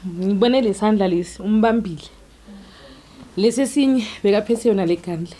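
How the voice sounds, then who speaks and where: A woman recounting events in an interview, mixing Zulu and English in halting sentences. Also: mid-range voice, mellow. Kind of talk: interview testimony.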